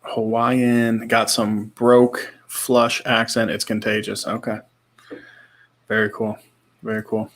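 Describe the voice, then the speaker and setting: Low-pitched man in casual podcast chat. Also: completely flat delivery, takes it slowly, a bit bright. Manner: laid-back, slangy, repeats short reactions. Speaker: podcast co-host. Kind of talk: casual chat, reading viewer comments.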